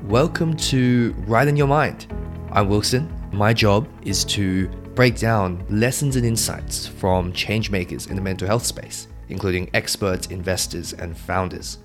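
A man's voice: on the low side, measured pace, over music. A man hosting a podcast interview, introducing his guest and asking upbeat, friendly questions. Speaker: podcast host.

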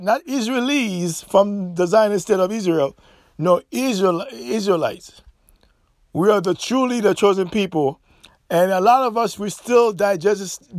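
A man's voice: high voice, no echo, studio quality. A man from Haiti, delivering an impassioned, repetitive monologue straight to his viewers. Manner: emphatic, repetitive, preachy.

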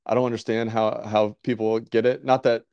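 A man's voice: on the low side, level tone, a little fast, darkish tone, smooth, no room sound, muffled recording. A man hosting a casual interview podcast, talking in an easygoing, rambling way with many restarts. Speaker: podcast host.

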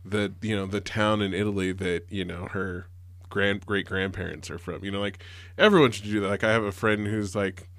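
A man's voice: fairly low pitch, fairly even intonation, normal speed, a bit bright, dry recording, studio quality. A man, a teacher, talking earnestly in a rambling way full of fillers. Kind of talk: casual conversation.

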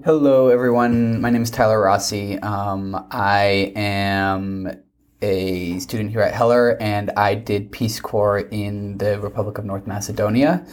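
A young male student on a panel, giving measured, academic explanations. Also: on the low side, fairly even intonation. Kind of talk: panel discussion.

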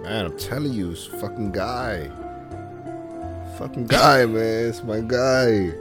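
A man's voice: on the low side, takes it slowly, over music. A man co-hosting a casual podcast, joking and swearing freely, switching between Spanish and English. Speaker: podcast co-host.

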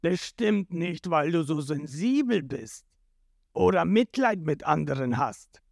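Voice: medium pitch, lively intonation, slow pace, gravelly, a little mellow, no echo, studio quality, heavily acted. A narrator voicing a cruel, domineering male pirate captain with a menacing, scornful manner in a storybook retelling. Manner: menacing, sneering.

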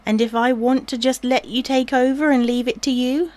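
A woman's voice: high voice, fairly even intonation, moderate pace, darkish tone, close to an everyday voice. An actress playing a self-doubting wife and new mother in a domestic audio drama, hesitant and questioning. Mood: anxious, unsure.

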